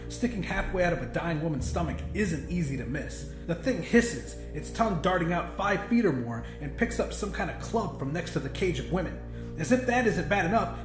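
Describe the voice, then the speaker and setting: A man reading a first-person horror story aloud with intense, theatrical delivery. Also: on the high side. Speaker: audiobook narrator. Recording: roomy echo with music behind. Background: music.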